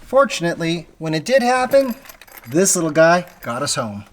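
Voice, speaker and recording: An American how-to host with a mid-pitched voice, explaining a hands-on job step by step in a casual, conversational way. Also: very animated, moderate pace, noisy background. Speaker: host of an automotive how-to channel. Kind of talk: casual how-to tutorial narration.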